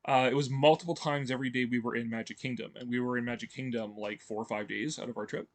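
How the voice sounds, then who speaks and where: A man's voice: mid-range voice, fairly even intonation, fast talker. A man talking casually as a video host, rambling with many fillers and restarts and chuckling often. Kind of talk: casual enthusiastic commentary.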